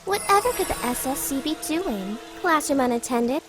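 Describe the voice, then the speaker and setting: A woman's voice: sits high, expressive, moderate pace, music in the background. A woman playing a haughty, mocking teenage schoolgirl rival in an anime dub, sardonic and mock-polite.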